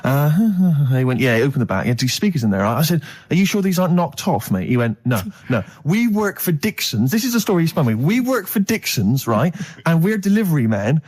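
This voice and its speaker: A man's voice: a little high, sing-song, fairly quick, hardly any pauses, a little mellow. A British man co-hosting a comedy radio show, telling a chatty anecdote and acting out both sides of the conversations. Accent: British English.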